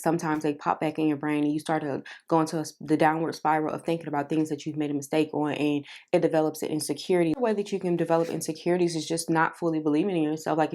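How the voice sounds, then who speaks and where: Young woman, low voice, casual vlog talk, lots of fillers, soft delivery. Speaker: self-help vlogger.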